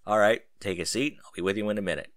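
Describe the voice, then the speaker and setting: A man's voice: lowish voice, quick pace, no echo, close to an everyday voice. A man voicing a stern, scruffy older barber in a story, curt and matter-of-fact. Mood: brisk.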